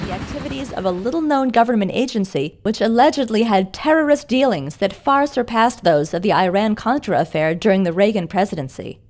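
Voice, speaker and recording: An actress playing a composed TV news anchor reading a formal, measured newscast in a video game. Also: female voice, mid-range voice, animated, a little mellow, a little hiss or hum, lightly acted.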